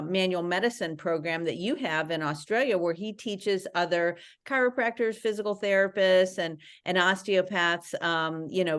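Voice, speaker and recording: Chatty woman podcast host, low voice. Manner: upbeat, chatty, halting with fillers. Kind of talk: podcast interview.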